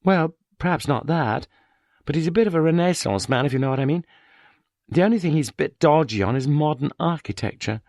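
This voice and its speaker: A man voicing a sharp-tongued, sardonic middle-aged woman academic in an audiobook. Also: a little high, lively intonation, a little fast, dry recording, studio quality, pitched above the performer's own voice. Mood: dry, wry. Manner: wry, sardonic.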